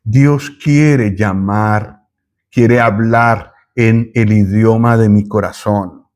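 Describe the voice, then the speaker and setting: A man from Colombia, giving a thoughtful Bible teaching with pauses and self-corrections. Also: on the low side, steady tone, darkish tone.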